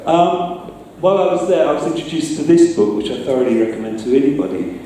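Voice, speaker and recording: A middle-aged man giving an informal talk, speaking hesitantly with pauses mid-phrase. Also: on the high side, expressive, dark tone. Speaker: sound designer giving a talk. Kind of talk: informal public talk with slides. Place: large echoey room, speaking to an audience. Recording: distant, very echoey room with some noise.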